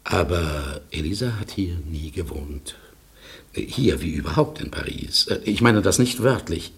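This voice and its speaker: An actor playing a melancholy husband in a German radio drama, speaking in an emphatic, halting, dramatic way. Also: male voice, low-pitched, lively intonation. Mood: wistful. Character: melancholy man narrating his lost love, anxious husband. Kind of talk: German radio drama acting, narration and dialogue.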